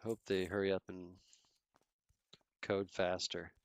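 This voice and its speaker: Low-voiced Toronto guy streaming a game. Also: flat delivery, takes it slowly, no room sound. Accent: Toronto Canadian. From Canada. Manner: laid-back, chatty, trails off mid-sentence. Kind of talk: casual chat while gaming, reading chat.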